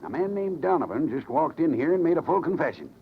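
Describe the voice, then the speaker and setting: A laconic gruff police lieutenant, gravelly, old radio show, muffled and noisy. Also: male voice, on the high side, very animated, quick pace. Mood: matter-of-fact. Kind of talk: 1940s-50s radio acting.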